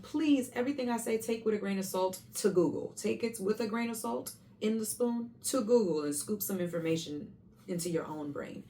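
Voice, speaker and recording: A woman's voice: medium pitch, normal speed, dark tone. A young woman, a stage performer, chatting in a rambling, self-correcting way as she shares her routine in a casual vlog. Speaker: Broadway performer sharing tips. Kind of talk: casual vlog talk to camera. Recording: faint background hum, mic at a distance.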